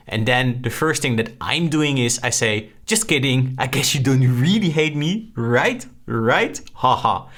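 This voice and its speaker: A man's voice: medium pitch, fairly bright tone. Upbeat Dutch-accented man explaining. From Netherlands. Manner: chatty, upbeat, lots of "like" and "right?". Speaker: online course creator. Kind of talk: casual screen-share tutorial.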